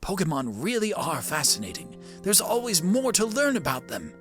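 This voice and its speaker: A man plays a kindly old professor in a video game, endlessly curious about creatures. The voice is gently musing and very expressive, a bit high, with music clearly underneath. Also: normal speed. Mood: fond, musing. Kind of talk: reading a game diary aloud in character.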